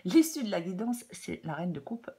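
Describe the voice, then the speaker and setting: A woman with a French accent giving a tarot reading in a casual, hesitant way, slightly hoarse, with many fillers and repeated phrases. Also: medium pitch, big pitch swings, normal speed, mellow. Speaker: tarot reader. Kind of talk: casual spoken tarot guidance.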